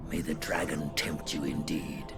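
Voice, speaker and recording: An actor playing a dark master's sly, mocking servant in a game cutscene, theatrical and taunting. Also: male voice, extremely low pitch, steady tone, normal speed, creaky, loud music behind the voice. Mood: mocking, sinister. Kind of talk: video game cutscene acting.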